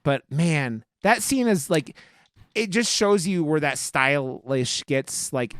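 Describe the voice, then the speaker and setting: A man's voice: a little high, lively intonation, slow pace, fairly bright tone, no room sound, studio quality. A man hosting a casual podcast, chatting about films in a gushing, enthusiastic way with plenty of swearing. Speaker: podcast host. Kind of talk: casual, profane film review chat.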